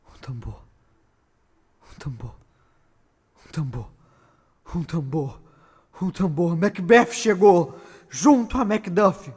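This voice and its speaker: A man's voice: fairly high pitch, breathy edge. A Brazilian man playing eerie, prophesying witches in a declamatory, incantatory theatrical Shakespeare monologue. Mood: hushed, ominous, then frenzied chanting. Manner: declamatory, drawn-out, swinging from hush to loud chant. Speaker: stage actor. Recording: long reverberant hall echo.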